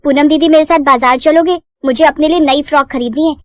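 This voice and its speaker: Woman playing a high-voiced naive little girl. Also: fairly even intonation, a little fast, dry recording, clean studio sound, natural voice. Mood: eager, innocent. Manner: eager, guileless. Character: naive, chatty little girl, proud younger sister. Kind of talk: animated moral-story cartoon dialogue.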